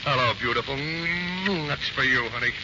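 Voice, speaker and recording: An actor playing a brash, bickering American Marine private who talks in grumbling, ungrammatical slang, in 1940s radio style. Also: male voice, medium pitch, moderate pace, close to an everyday voice. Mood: smitten, defensive. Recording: muffled, very noisy 1940s radio recording.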